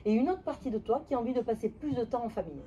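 A woman with a slightly high voice leads a coaching exercise in a training room, calm and methodical, checking that her partner follows. A faint hum of room noise sits under her voice, picked up by a camera set some distance away. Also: very fast, lo-fi sound. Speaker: NLP trainer.

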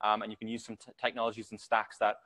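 A man's voice: on the low side, monotone, quick pace, a bit bright. A man from England with a Geordie accent, giving a casual developer talk to a meetup audience, full of ums and restarts. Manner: rambling, many ums and restarts. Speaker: conference speaker, developer. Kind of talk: casual tech talk presentation.

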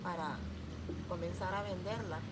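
A woman's voice: lowish voice, fairly even intonation, moderate pace. A woman with a Salvadoran Spanish accent, chatting casually as a street food seller on an outdoor vlog. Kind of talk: casual chat. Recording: very loud street and car noise. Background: cars and street ambience.

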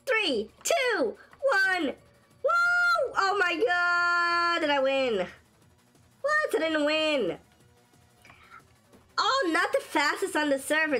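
Excitable woman as gaming host, raspy sore throat, lively high voice, faint music bed. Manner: excitable, shrieks and laughs at wins and deaths. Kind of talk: casual gameplay commentary. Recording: faint game music behind.

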